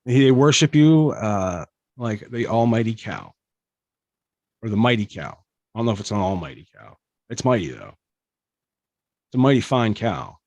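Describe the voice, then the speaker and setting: A man's voice: on the low side, big pitch swings, normal speed, pauses often, darkish tone, no echo, dull audio. Podcast host guy, jokey and rambly, dry close sound. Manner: joking, rambling, filler-heavy. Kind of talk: casual comedy podcast chat.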